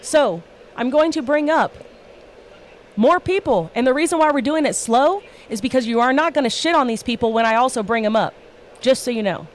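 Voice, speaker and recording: A woman's voice: high voice, expressive, normal speed. A woman hosting a livestream panel, talking bluntly and emphatically and swearing constantly in casual rants. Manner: blunt, heavily profane, emphatic.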